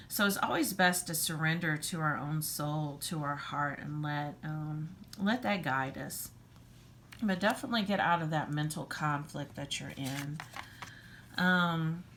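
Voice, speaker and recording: A hoarse woman, losing her voice, giving a casual tarot reading in an earnest, rambling, reassuring way. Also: low voice, fairly bright tone, a little hiss or hum. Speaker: tarot reader.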